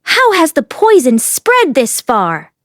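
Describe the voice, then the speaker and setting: A stern male master of a fantasy audiobook, voiced by a woman. He is aloof and indifferent, delivering his words flatly and dismissively. The sound is dry and close. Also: sits high, slow, no room sound, pitched above the performer's own voice, full character voice. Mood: flat, composed.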